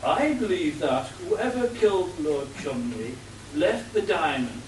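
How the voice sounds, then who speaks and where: A man plays a clever, long-suffering butler in a comic radio play. He sounds high, dry and deferential, recorded at a distance in a noisy, echoey room. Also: lively intonation, unhurried, darkish tone.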